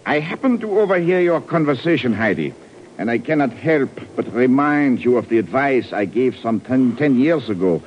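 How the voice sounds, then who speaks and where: An actor playing an arrogant, condescending German doctor in an old radio drama, with pompous phrasing. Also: male voice, mid-range voice, animated, moderate pace, close to an everyday voice. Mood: smug menace. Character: haughty German doctor, arrogant elitist villain. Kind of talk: 1950s radio drama acting. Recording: noisy, dull old radio recording.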